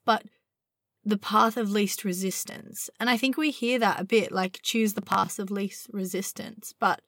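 A young woman hosting a spiritual podcast from inside her van at night, slightly husky as her voice recovers, talking in a dreamy, meandering way. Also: medium pitch, no room sound, clean studio sound. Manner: dreamy, meandering, drawn-out words. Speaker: podcast host. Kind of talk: casual spiritual podcast monologue.

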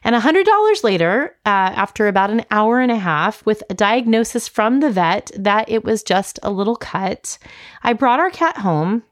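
Middle-aged female podcast host, mid-range voice, solo personal monologue, faintly raspy with a mild cold. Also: mid-range voice, lively intonation, moderate pace. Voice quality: slightly raspy, scratchy.

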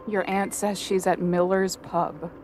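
A woman's voice: lowish voice, little pitch movement, a little slow, darkish tone, soft background music, lightly acted. A woman playing a worried but firm wife, direct and urgent, in a naturalistic audio drama. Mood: uneasy.

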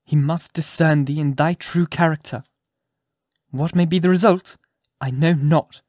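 A man's voice: medium pitch, monotone, normal speed, darkish tone, slightly rough, no room sound, clean studio sound, close to an everyday voice. A man voicing an anguished but resolute woman who pleads with dignity in impassioned, archaic storybook speech. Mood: firm despair. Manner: archaic, impassioned, emphatic delivery.

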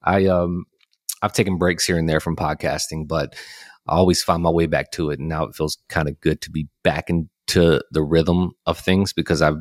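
A man in his thirties from Alabama hosting a podcast, joking and relaxed, often repeating his words. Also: very deep voice, normal speed, dry recording, studio quality. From Alabama, USA. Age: 30s. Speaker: podcast host. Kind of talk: casual chat. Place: cigar lounge.

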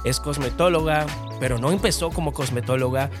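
A man's voice: medium pitch, a little fast, over music. A man hosting a podcast, opening with an upbeat radio-style introduction before asking his guest casual questions. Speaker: podcast host. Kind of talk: podcast interview.

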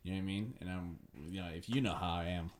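A young man hosting a casual podcast with a notably deep, low voice. He stumbles over his words and leans on fillers as he works toward his questions. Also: quick pace, a little hiss or hum. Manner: hesitant, stumbling, many fillers. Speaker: podcast host.

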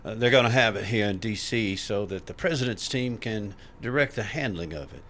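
An actor playing a gentle, scholarly colleague in an audio drama, speaking in a hesitant, politely precise way. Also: male voice, on the low side, brisk, a little hiss or hum, lightly acted. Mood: amiable. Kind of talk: naturalistic audio drama acting.

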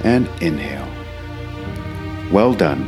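A man guiding a meditation in a calm, measured way, leaving long pauses between phrases. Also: sits low, creaky. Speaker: meditation guide. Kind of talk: guided meditation narration. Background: background music.

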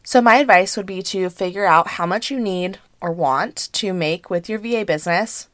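A woman in her thirties hosting a casual solo podcast, hoarse and congested from allergies, chatty with frequent fillers. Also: medium pitch, normal speed, a bit bright, dry recording. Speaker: podcast host and business coach.

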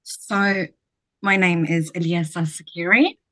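Woman, from Kosovo, hotel manager, deep voice, reflective, lots of ums. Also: measured pace, pushed and bright. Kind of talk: podcast interview answers.

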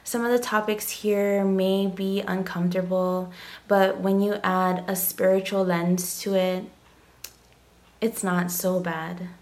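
A woman from Hawaii, hosting her own podcast, talking slowly and gently with many ums and pauses. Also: medium pitch, level tone. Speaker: podcast host. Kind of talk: casual solo podcast monologue. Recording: loud background music.

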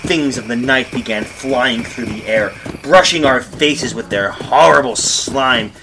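An actor playing a frightened husband lost in time, in melodramatic old-time radio horror style with intense, urgent delivery. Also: male voice, medium pitch, a little slow, pushed and bright, a little hiss or hum, poor audio. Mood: urgent, panicked. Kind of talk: old-time radio horror acting, first-person narration and dialogue.

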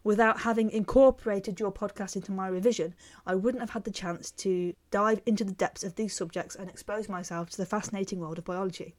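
A woman's voice: medium pitch, fairly even intonation, a little fast, hardly any pauses, a little mellow. A woman with a mid-range voice co-hosts an educational podcast. She speaks casually with small hesitations and reads listener emails aloud. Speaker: podcast co-host.

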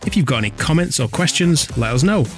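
A man's voice: a little high, lively intonation, fast talker, over loud music. A man hosting a podcast, joking and chatty, sometimes stumbling over his words when he teases. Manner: joking, playful, stammers when teasing. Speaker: podcast host. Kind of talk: casual podcast chat.

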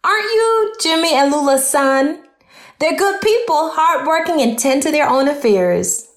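Woman playing high-voiced protective mother. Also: animated, a little slow. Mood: courteous, firm. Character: protective, courteous Southern mother, middle-aged. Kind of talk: audio drama dialogue.

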